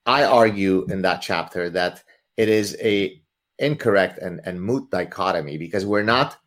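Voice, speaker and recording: Animated man lecturing, native Arabic speaker. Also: low-pitched, normal speed. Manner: lecturing, theatrical, uses vivid examples. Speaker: author guest. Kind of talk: animated explanatory talk.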